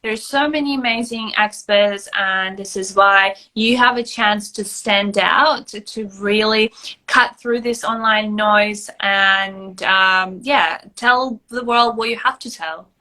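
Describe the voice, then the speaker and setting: A woman's voice: mid-range voice, steady tone, takes it slowly, sharp tone, poor audio. A Russian-born woman with a mixed American-British accent, speaking hesitantly in a casual podcast chat. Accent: mixed American and British, Russian traces. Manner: hesitant, many "um" and "uh".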